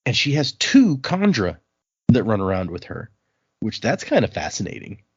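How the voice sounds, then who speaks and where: A man's voice: on the low side, wildly swooping pitch, normal speed, husky, dry recording, lo-fi sound. A man hosting a podcast, chatting informally as he recaps a book and reads bits of it aloud. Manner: conversational, informal. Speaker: podcast host. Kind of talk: casual chat recapping and reading from a novel.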